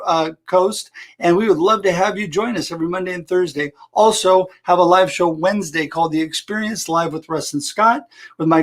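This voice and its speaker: Brief: enthusiastic male talk-show host, slightly higher voice, on a video call. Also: fairly bright tone. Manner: upbeat and enthusiastic. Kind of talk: live-streamed interview.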